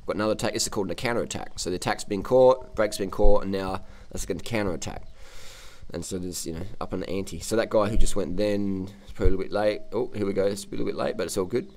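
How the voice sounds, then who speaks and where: A man's voice: low voice, quick pace, darkish tone. An Australian man giving unscripted, casual cycling commentary in a blunt, cocky, slangy way, often repeating phrases for emphasis. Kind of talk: unscripted casual commentary over race footage.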